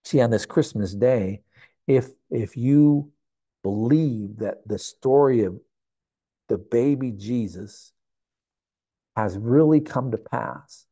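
A man's voice: medium pitch, slow pace, pauses often, warm tone, dry recording. A man in his sixties preaching a sermon to camera in a church, earnest and reflective, often repeating phrases. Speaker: pastor. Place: indoor church sanctuary.

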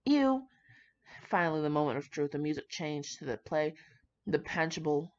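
Young adult woman, low register, informal fan fiction reader who trips over words; dull home recording. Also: expressive, moderate pace. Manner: informal, slangy, swearing, stumbles over words while reading. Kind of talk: reading fan fiction aloud with casual, chatty asides.

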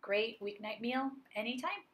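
A woman's voice: fairly high pitch, animated, a little slow, fairly bright tone. A woman in her forties, a chef, giving a friendly, upbeat cooking demonstration and explaining each step plainly. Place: home kitchen, indoors.

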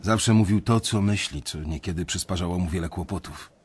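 Niski, lekko ochrypły bankier-narrator. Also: male voice, deep voice, fairly quick, husky. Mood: reflective, resigned. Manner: reflective, confiding. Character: weary young male banker confessing his story. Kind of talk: first-person radio drama narration.

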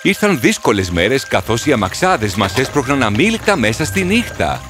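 A man's voice: medium pitch, big pitch swings, close to an everyday voice. Earnest, sorrowful horse storyteller played by a man. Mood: sorrowful, reflective. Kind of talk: storybook cartoon narration. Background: music.

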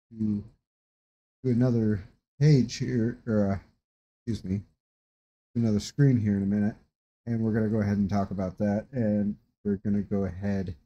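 A man in his thirties giving a casual, rambling, halting tutorial from his desk at home. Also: fairly low pitch, dark tone, husky, muffled recording. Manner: rambling, halting, informal explanations. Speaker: tutorial host. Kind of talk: casual screen-share tutorial. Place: small room indoors at a desk.

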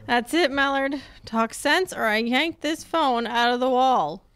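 A woman acting a sharp, skeptical young private detective in an old-time radio mystery, wry and brisk. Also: high-pitched, clean tone. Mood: skeptical, bantering. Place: theatre stage, live performance. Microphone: handheld-style mic on a stand.

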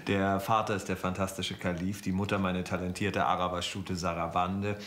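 A proud, old-fashioned count in an audio drama. His voice sits fairly low, and his courtly, formal speech keeps hesitating. There is faint noise in the recording. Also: flat delivery, normal speed, a bit bright. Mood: proud, hesitant. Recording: light background noise.

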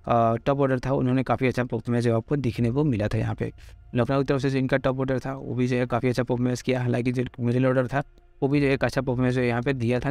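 Man, cricket pitch report, fast filler-heavy delivery, monotone, faint background music. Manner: rattles off figures with constant fillers. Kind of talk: fast informal cricket stats rundown.

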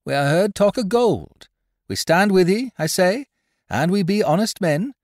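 A man's voice: high-pitched, expressive, measured pace, no echo, studio quality, voice pushed up. A man voicing a bold, emphatic sailor with rustic seafaring speech in a storybook. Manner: emphatic, cold, dialect-laden. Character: bold male sailor with rustic speech, protesting his honesty. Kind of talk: audiobook character voice, old seafaring dialect.

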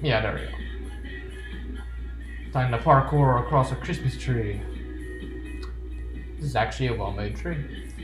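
A man riffs playfully through a let's play, reading on-screen text aloud and cracking jokes. His unhurried voice sits in a roomy, echoing space, with faint game music running underneath. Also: medium pitch. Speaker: gamer.

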